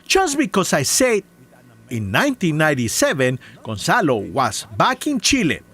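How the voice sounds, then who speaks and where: A man's voice: a little high, huge pitch swings, moderate pace, a bit bright, slightly rough. A man with a heavy foreign accent reading a scripted rebuttal, listing claims one by one with scorn. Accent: non-native English. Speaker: commentary video maker.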